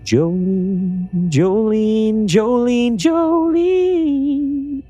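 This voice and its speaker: Jilted male killer acted by a man: strained high voice, menacing, possessive, theatrical pleading and sneering, horror drama. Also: very slow, warm tone, dull audio, soft background music, exaggerated voice. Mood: eerie pleading.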